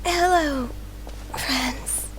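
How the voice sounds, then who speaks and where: Very slow, drowsy gentle maiden. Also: female voice, sits high, background noise. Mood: drowsy, dazed. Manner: hesitant, sleepy. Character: gentle, drowsy, polite young woman. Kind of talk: video game character voice acting.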